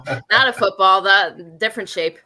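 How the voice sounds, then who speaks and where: A woman's voice: medium pitch, moderate pace, over a noisy crowd, low-quality recording. A woman co-hosting a podcast over a video call, upbeat and chatty, laughing often. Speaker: podcast co-host. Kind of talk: casual video podcast chat.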